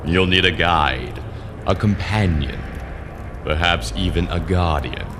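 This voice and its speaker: A man's voice: low voice, slow, noisy background. A man acting a scheming older wizard mentor in a video game, speaking with silky, commanding drama. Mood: grandly paternal.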